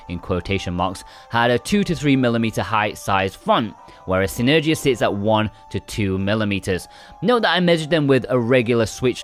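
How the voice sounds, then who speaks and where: A man reading a scripted video game review in a measured, explanatory way with lightly wry asides. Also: medium pitch, a bit bright.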